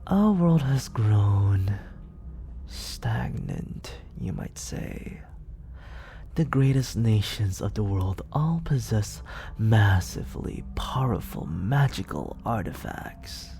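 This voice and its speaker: A soft-spoken scheming villain, voiced by a man, coaxes in a slow, gravelly near-whisper. His tone is dark and mellow, and his pitch swings widely between hushed murmurs. It is a close-mic ASMR roleplay. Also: very animated. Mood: coaxing. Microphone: close studio condenser.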